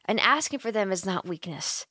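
A young woman voicing an affectionate, teasing, sleepy roommate in a gentle, intimate ASMR comfort roleplay, close to the microphone. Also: medium pitch, lively intonation, moderate pace, slightly rough, dry recording. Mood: teasing, reassuring. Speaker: roleplay voice actor. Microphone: close microphone, dry.

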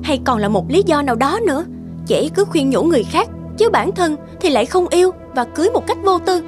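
High-pitched, anxious young cartoon woman. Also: sing-song, fast. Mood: curious, musing. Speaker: voice actor in an animated moral tale. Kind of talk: dubbed cartoon dialogue. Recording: music behind the voice.

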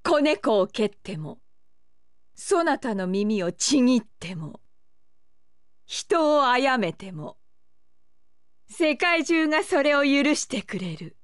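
A woman's voice: sits high, very animated, slow, pauses often, clean tone, dry recording, studio quality, heavily acted. A woman voicing a haughty, lovestruck young pirate empress in an anime video game, with imperious, archaic regal diction. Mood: haughty, commanding.

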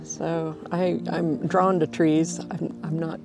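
Female painter, low and gravelly, unhurried, hesitant casual chat over music. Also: little pitch movement. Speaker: painter being interviewed. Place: outdoors on a lawn. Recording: background music over outdoor voice.

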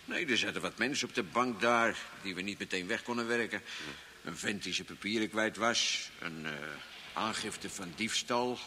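A grumbling but deferential desk policeman in a radio play. The voice is low and bright-edged, rambling in everyday words, with faint noise behind it. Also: normal speed. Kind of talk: Dutch radio drama acting.

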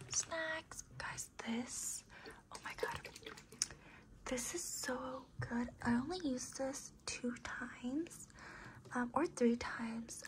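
A young woman losing her voice, whispering raspily in a casual, rambling ASMR desk tour, with lots of fillers. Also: on the high side, noisy background. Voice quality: raspy. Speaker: ASMR creator.

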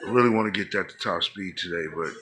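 A man's voice: deep voice, fast talker. An American man casually narrating a hobby show-and-tell in slangy, unscripted, laid-back phrasing, recorded indoors on a handheld camera. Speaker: hobbyist vlogger. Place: indoors at home, speaking from behind the camera.

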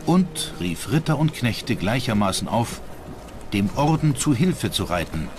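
A man's voice: medium pitch, sing-song, unhurried, background noise. A man narrating a scripted history documentary as its narrator. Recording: music under the narration.

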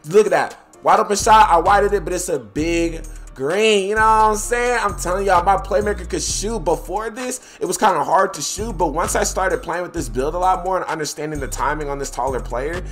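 A young man talks straight to his viewers, hyped and full of slang, his voice set a little high. His nose is stuffed up. Game music plays faintly behind him. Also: brisk, a bit bright. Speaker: gaming YouTuber. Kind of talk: gameplay commentary.

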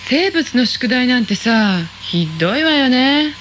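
An actress playing a cheeky, quick-witted high-school girl in a radio drama. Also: slight background noise. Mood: chatty. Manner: chatty, lively, sassy.

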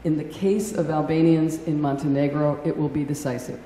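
A woman's voice: low voice, flat delivery, mellow. Earnest woman testifying in a hearing room. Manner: earnest, deliberate, emphatic. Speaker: witness testifying. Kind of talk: formal testimony. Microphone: desk microphone. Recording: echoey hall, faint room noise.

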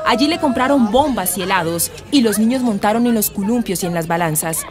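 Radio drama narrator: Colombian woman, medium-pitched, scripted delivery, clear music bed.

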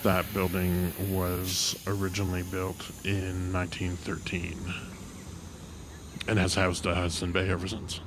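A man narrating a casual walking tour of a city street outdoors, halting with many fillers and restarts. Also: low-pitched, monotone. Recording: noisy street traffic behind.

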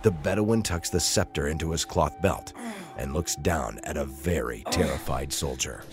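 A man's voice: a bass voice, normal speed. A man narrating an audio drama in an intense, portentous storytelling manner. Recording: loud cinematic music under narration. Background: loud dramatic music.

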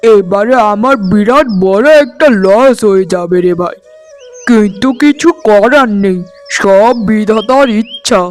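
A man voicing a gentle young village farmer and devoted husband in a cartoon, mild and folksy. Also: high voice, measured pace. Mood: worried. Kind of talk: cartoon voice acting. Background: music.

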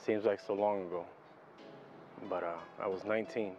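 A man performing a troubled figure confessing a painful past in an emotive, poetic film monologue with pauses and hushed drops. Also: lowish voice, fairly even intonation, dull audio, faint music behind, natural voice. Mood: brooding, reminiscing. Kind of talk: dramatic film monologue with rhymed spoken-word poetry. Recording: roomy, echoing amateur film sound.

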